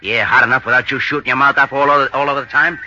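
An actor playing a tough, bullheaded criminal in a 1940s radio drama, slangy, bullying and cocksure. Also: male voice, mid-range voice, steady tone, very fast, sharp tone. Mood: angry, insistent. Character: tough, impatient, bullheaded male criminal butterfly hunter. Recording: dull, noisy old radio recording.

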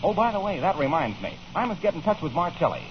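Hearty high-voiced salesman. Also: sing-song, fast talker. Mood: hearty, friendly. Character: genial, eager floor-wax salesman. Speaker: announcer and pitchman. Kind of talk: 1930s radio comedy acting. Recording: muffled old radio recording, faint hiss.

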